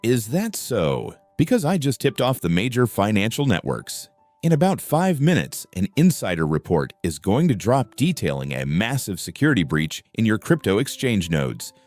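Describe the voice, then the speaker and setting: A man's voice: on the low side, big pitch swings, normal speed, a little mellow, dry recording. A man voicing a smug, sneering male executive in an audio drama, taunting and gloating. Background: background music.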